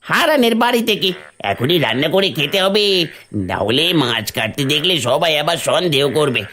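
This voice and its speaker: A woman's voice: sits low, very animated, moderate pace, a bit bright, slight background noise. A woman voicing a sly, wheedling male thief and grumbling husband in a cartoon. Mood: eager, wheedling. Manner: scheming, wheedling, exaggerated. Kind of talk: cartoon voice acting.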